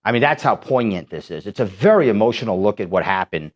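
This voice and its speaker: A man's voice: fairly low pitch, expressive, fast. A man from Manhattan, a documentary filmmaker, talking as a guest in a studio and telling stories in a chatty way. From Manhattan, New York. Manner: chatty, storytelling, laughs easily. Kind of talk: casual studio interview. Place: radio-style studio.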